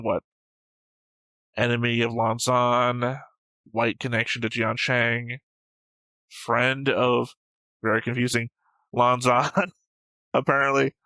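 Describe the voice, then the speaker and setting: A man's voice: mid-range voice, bright, forceful tone, dry recording, rough recording. A man co-hosting an anime reaction show, chatting casually and unscripted in a dry, teasing way. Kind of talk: casual unscripted banter and commentary.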